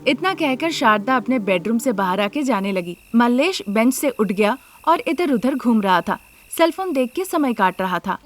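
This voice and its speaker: A woman's voice: on the high side, animated, moderate pace, soft background music. A woman storyteller reading a scripted family moral tale as narrator.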